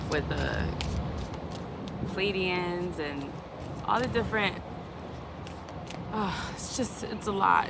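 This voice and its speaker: A woman giving a casual, unedited tarot reading outdoors, talking in a chatty, meandering way, her voice a little hoarse. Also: lowish voice. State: slightly hoarse, recovering from lost voice. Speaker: tarot reader. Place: outdoors in a city, traffic nearby. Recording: outdoor traffic noise.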